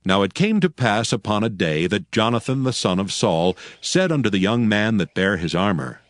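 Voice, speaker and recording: A man narrating scripture as a scripted dramatic audiobook in solemn, measured storytelling. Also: fairly low pitch, sing-song, no echo, studio quality.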